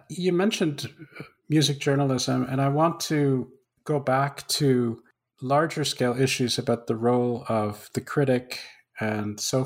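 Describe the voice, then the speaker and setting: A man hosting an interview, asking curious, polite questions with some halting restarts. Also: medium pitch, vocal fry. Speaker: interviewer host.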